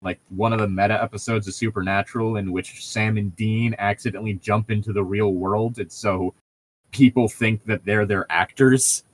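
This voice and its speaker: A man co-hosting a casual chat, rambling through stories in an excitable, profane and laughter-prone way. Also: lowish voice, moderate pace, poor audio.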